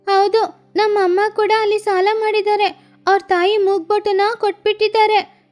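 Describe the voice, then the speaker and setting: A woman's voice: extremely high pitch, little pitch movement, normal speed, soft background music, pitched above the performer's own voice. A woman voicing an earnest, concerned young bird child in a children's cartoon story. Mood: worried.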